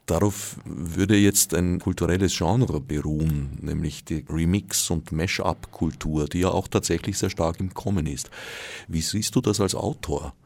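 A man with an Austrian accent interviewing in an intellectual style, measured, wordy and precise. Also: sits low, vocal fry, darkish tone.

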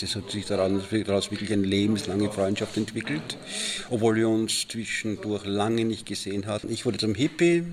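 A man's voice: fairly low pitch, lightly acted. A middle-aged man with an Austrian accent as an old friend, telling anecdotes hesitantly in a radio collage. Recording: crowd chatter behind the voice. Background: crowd chatter.

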